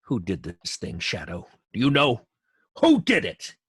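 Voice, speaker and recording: An actor playing a grave police commissioner in an old-time radio drama, with a husky voice. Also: male voice, on the low side, wildly swooping pitch, measured pace, fairly bright tone, no room sound, clean studio sound, heavily acted. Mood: anxious.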